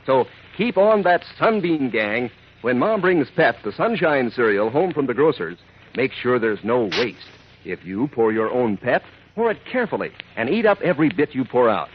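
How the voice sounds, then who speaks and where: An American man doing a peppy, folksy, emphatic 1940s radio advertising pitch aimed at children. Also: sing-song, normal speed, slight background noise. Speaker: commercial announcer. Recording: dull lo-fi 1940s radio recording.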